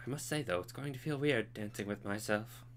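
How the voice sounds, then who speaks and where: A man voicing a sweet, polite young princess in a game, raising his voice softly. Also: fairly low pitch, a little fast, slight background noise, lightly acted. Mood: gentle.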